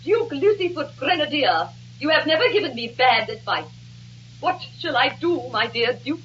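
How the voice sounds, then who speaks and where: A melodramatic amateur actress, high and bright. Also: female voice, very animated, normal speed. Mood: haughty. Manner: declamatory, overwrought. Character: melodramatic, easily wounded amateur actress playing a grand lady. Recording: dull, noisy old broadcast recording.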